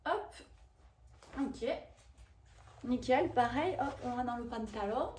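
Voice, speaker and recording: Woman, 30s, high-pitched, chatty haul vlogger who rambles through asides. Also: lively intonation, slow, darkish tone, a little hiss or hum. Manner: chatty and rambling, with frequent fillers and self-corrections. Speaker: vlogger reviewing a shopping haul. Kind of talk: casual haul chat to camera.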